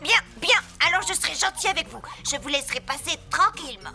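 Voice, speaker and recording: Squeaky, creaky, feisty little flower. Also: female voice, lively intonation, fast, sharp tone, exaggerated voice. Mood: grudgingly welcoming. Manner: blustering, exaggerated. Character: feisty, grumpy little talking flower picking fights. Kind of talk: dubbed children's cartoon acting. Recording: music under the voice.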